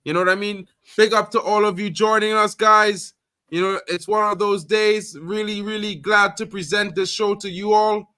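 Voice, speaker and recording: A high-voiced man from Trinidad runs a live fan stream, talking loudly and with lots of energy. He leans on fillers and repeats himself as he goes. His tone is bright and forceful, and the sound is close and dry. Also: moderate pace, no room sound. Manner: animated, loud, repeats fillers like "you know what I mean". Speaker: host. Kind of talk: casual live-stream chat.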